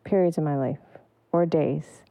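A woman's voice: low voice, fairly even intonation, unhurried, no echo, highs cut off. A woman percussionist giving thoughtful, searching answers in an interview. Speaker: percussionist guest.

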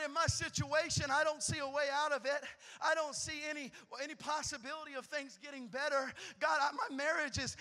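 A man preaching a sermon in a church, moving from quiet teaching to shouted, fervent prayer. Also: very high voice. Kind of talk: sermon with altar call prayer. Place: church sanctuary.

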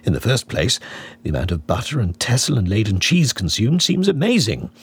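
A man reading a classic novel as an audiobook narrator, in a measured, expressive, mock-scholarly manner. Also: fairly low pitch, breathy edge. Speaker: audiobook reader.

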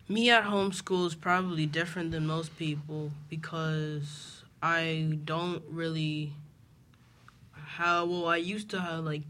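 High, bright-voiced twelve-year-old boy. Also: vocal fry, a little hiss or hum. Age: twelve years old. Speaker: homeschooled student guest. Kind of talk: casual interview answers.

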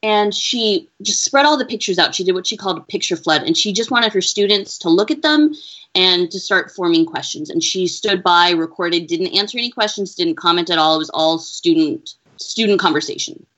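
A woman researcher answering interview questions in an earnest, measured, explaining way. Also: fairly low pitch, expressive, a bit bright. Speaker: education researcher, interview guest. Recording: dull lo-fi audio.

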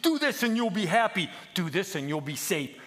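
A man voicing an earnest, emotional little lamb who pleads in a high, strained, preachy way, as in a children's story. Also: sing-song, normal speed, a bit bright. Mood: anguished warning. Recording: long echoing hall reverb.

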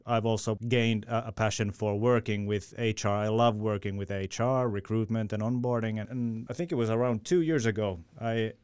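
Sales guy, smooth lowish voice, dry mic, upbeat. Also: fairly even intonation, moderate pace, few pauses, a little mellow, dry recording. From Finland. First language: Swedish. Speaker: sales director. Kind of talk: podcast interview answer.

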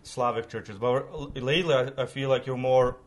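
A man's voice: mid-range voice, fairly even intonation, moderate pace. A man hosting a podcast, who asks his questions in a rambling, informal way with lots of fillers and restarts. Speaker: podcast host. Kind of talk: casual conversational interview.

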